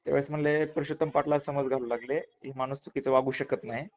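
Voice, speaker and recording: A man's voice: medium pitch, steady tone, quick pace. A man from Latur with a Marathwada Marathi accent, giving his side of a dispute emphatically in a recorded phone interview, often repeating phrases. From Latur, Maharashtra, India. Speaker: interviewee, kirtan performer. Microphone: phone call. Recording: muffled lo-fi phone call recording.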